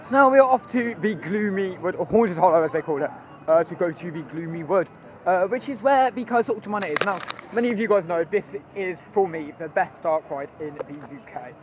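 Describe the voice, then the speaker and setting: A hoarse young man, chatty and rambling as he walks through a theme park with his phone. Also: sits high, a little fast, muffled recording. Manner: chatty, rambling, excitable, many ums. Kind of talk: walking vlog commentary.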